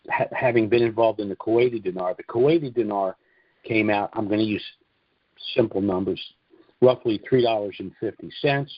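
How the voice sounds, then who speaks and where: Low-voiced man on a dull conference call. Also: no echo. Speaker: host of an investor conference call. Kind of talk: informal monologue briefing on a conference call. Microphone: conference call line.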